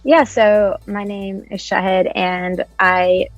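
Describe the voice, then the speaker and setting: A woman's voice: mid-range voice, flat delivery, slow, no echo, muffled recording, soft background music. A woman in her thirties from New Jersey, telling personal stories as a panelist in an online discussion. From United States, New Jersey. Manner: personal storytelling, soft-spoken with frequent "like".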